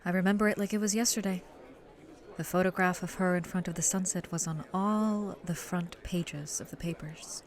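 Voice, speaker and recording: Actress as seasoned woman reporter, low-pitched, grave, measured, audio drama interview. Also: fairly even intonation, natural voice. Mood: recollecting. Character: grave, seasoned female reporter recalling an old case.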